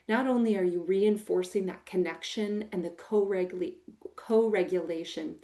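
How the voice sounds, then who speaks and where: Mellow, big-voiced American woman in midlife, an emphatic trainer. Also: mid-range voice. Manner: expressive, emphatic, warm-hearted teaching with a big voice. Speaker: program manager giving a training talk. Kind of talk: recorded training talk to foster parents.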